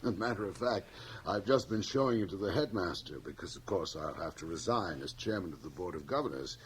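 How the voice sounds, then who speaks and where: A man's voice: sits low, lively intonation, brisk. An actor playing a wealthy middle-aged board chairman in a radio drama, urbane and clubby, with an affable but condescending manner. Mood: surprised, self-important. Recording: 1980 radio drama, hissy cassette transfer.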